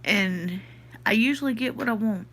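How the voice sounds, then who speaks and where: Bright-voiced Southern woman, stuffy nose. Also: on the high side, moderate pace. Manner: chatty, thinking aloud, answers chat comments. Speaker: podcast host reading live chat. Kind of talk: casual livestream chat.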